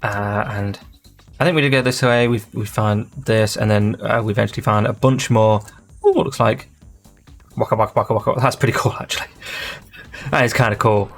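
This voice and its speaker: A man's voice: lowish voice, fairly even intonation, a little fast, soft background music. Rambling man narrating gameplay. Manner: rambling, thinking aloud, easily sidetracked. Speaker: gaming channel host. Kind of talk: casual gameplay commentary.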